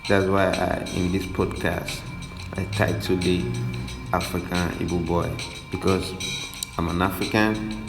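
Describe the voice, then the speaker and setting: Nigerian man, podcast host, slangy reflective monologue, deep flat voice, clear music in the background. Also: unhurried, long pauses. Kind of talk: personal storytelling monologue.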